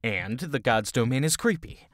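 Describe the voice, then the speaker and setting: Low, expressive skeptical adventurer played by a woman. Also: moderate pace, dry recording, clean studio sound. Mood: wry, skeptical. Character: skeptical, wry fantasy adventurer, loyal friend. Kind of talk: amateur fantasy audio drama acting.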